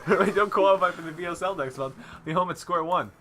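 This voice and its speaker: A man's voice: sits high, fast talker, sharp tone. A young man hosting a gaming channel, reading game text aloud and breaking off into jokey casual chat.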